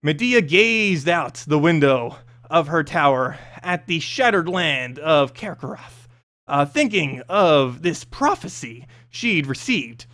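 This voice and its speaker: A man's voice: on the high side, expressive, slow, a bit bright. A man reading a scripted fantasy story as narrator, expressively, with an occasional stumble over the text.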